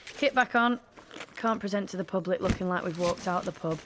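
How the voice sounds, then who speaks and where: Woman acting experienced british lady cop mentoring a rookie, audio play, lowish voice, wry n casual. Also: a little fast, background noise, lightly acted. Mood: wry, amused. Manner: dry, wry, informal. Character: wry, seasoned female police officer mentoring a newcomer. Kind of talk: naturalistic audio drama acting.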